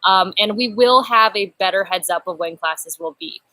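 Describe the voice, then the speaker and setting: A woman's voice: medium pitch, moderate pace, dry recording, poor audio. A young woman hosting a casual live stream from an outdoor patio, chatty and upbeat, picked up by a laptop webcam. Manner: chatty, upbeat, self-described loud voice. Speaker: channel host.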